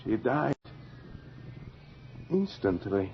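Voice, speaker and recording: An actor playing a merciless, zealous vampire slayer, commanding and declamatory, in a radio horror drama. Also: male voice, lowish voice, sing-song, drawn-out words, a little hiss or hum, heavily acted. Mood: grim. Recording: muffled radio recording, crowd behind.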